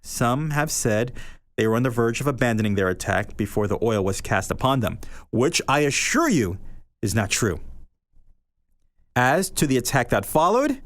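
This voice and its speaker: A man, a podcast host, reading a short story aloud in an earnest, measured way, his pitch rising and falling for effect. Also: no echo. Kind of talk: reading literary fiction aloud.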